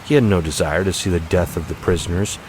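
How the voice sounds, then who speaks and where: Low-voiced man narrating dramatically. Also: fast, darkish tone, slight background noise, rough recording. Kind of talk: dramatic scripted audiobook narration. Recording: music behind the voice.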